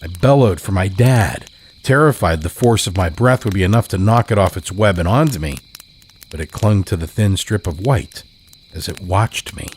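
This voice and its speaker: A man narrating a horror story in a measured, deliberate way as a podcast host. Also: deep voice, lively intonation, a little hiss or hum.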